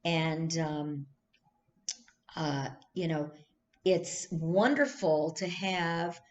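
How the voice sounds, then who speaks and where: Slow, thoughtful woman teaching a text. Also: low voice, highs cut off. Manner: slow, thoughtful, with frequent ums and restarts. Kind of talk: online text study lesson.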